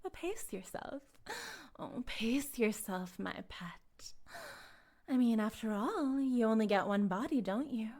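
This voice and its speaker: A woman playing a smug, possessive female cult leader in an intimate roleplay monologue, speaking deliberately and teasingly, often slipping toward a breathy whisper. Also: a little high, lively intonation, a little mellow, exaggerated voice.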